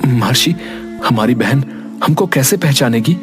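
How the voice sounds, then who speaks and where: A man voicing a devoted, respectful grown younger brother in an animated fairy tale. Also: medium pitch, measured pace, music in the background, lightly acted. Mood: earnest.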